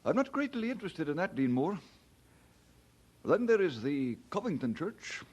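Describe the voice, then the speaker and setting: An actor playing an earnest, troubled young minister in a radio drama, with a hesitant, emotive delivery. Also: male voice, a little high, sing-song, normal speed, a little mellow, breathy edge, lo-fi sound. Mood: troubled, respectful.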